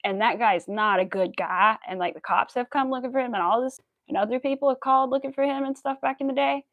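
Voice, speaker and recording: American woman, early 30s, chatty crafting streamer. Slightly high voice with fry, close dry sound, rambling and informal. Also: fast, dry recording, phone-quality sound. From United States. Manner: chatty, rambling, counts stitches aloud. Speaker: craft livestreamer. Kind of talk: casual livestream chat while crocheting.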